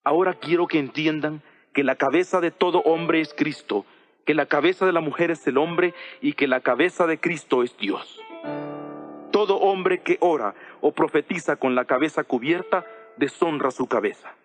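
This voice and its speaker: A man playing an authoritative apostle who instructs his church in a measured, didactic way in a dramatized audio Bible, with music behind. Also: a little high, lightly acted. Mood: measured, instructive.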